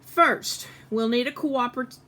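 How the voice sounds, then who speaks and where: A woman's voice: on the high side, measured pace, bright, forceful tone, voice pushed up. A woman voicing a patient male elementary school teacher in a storybook, addressing the class with emphasis. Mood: announcing.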